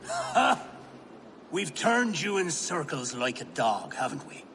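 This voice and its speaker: An actor playing a wily, brazen Dark Age British chieftain in a video game cutscene, theatrical and sardonic. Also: male voice, on the high side, big pitch swings, slow pace, big-room sound, a little hiss or hum, full character voice. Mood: gleeful, mocking.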